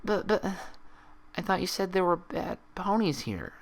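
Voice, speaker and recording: A man voicing a childlike, fragile female pony in a story reading, with a halting, pleading, stammering delivery. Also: high voice, sing-song, gravelly, voice pushed up, full character voice. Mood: timid.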